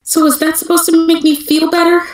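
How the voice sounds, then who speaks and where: A woman playing an excitable, indignant, naive young woman in an amateur anime fandub reading. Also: extremely high pitch, fairly quick, a little hiss or hum. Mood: dejected, whiny. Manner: exclaiming, dramatic, expressive. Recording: echoey home voice-chat stream.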